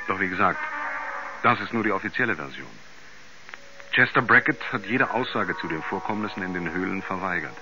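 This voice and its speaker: An actor playing a sober reporter, narrating in a measured, factual documentary manner for a radio play. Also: male voice, sits low, expressive. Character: sober male reporter narrating a mysterious space incident. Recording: dull vintage radio recording, music underneath.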